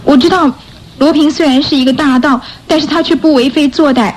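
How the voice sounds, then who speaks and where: An actress plays a repentant young orphan girl in a radio drama. Her female voice is high, timid and hesitant, with an earnest, pleading manner. Faint noise sits behind her. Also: fairly even intonation, fairly quick, lightly acted, poor audio. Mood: earnest, hopeful.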